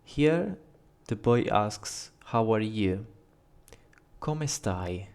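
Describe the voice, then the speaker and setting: A man with an Italian accent, a native Italian speaker, giving a measured, carefully paced language lesson and reading short dialogue lines aloud. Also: on the low side, animated, gravelly, a little mellow, smooth. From Italy. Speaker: language teacher. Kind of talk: slow scripted language lesson.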